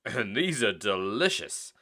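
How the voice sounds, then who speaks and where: A man voicing a cheerful, easygoing father pig in a storybook reading, relaxed and friendly. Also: on the low side, unhurried, exaggerated voice.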